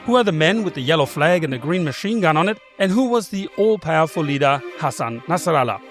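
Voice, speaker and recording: A male podcast host speaks in a fairly high voice and presents with clear, articulate delivery. Soft music plays faintly under his voice. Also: expressive, brisk. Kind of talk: scripted intro then interviewing.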